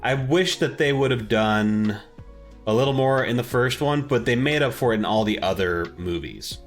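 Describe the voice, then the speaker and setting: A man's voice: mid-range voice, animated, normal speed, faint music behind. A young man co-hosting a casual podcast, rambling and restarting his sentences as he searches for words. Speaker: podcast co-host. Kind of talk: casual chat.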